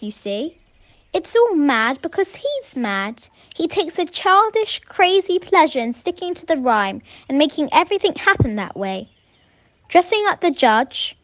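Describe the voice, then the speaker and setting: A woman playing a sharp-minded, anxious young woman in an amateur radio play, speaking emphatically. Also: sits high, no room sound.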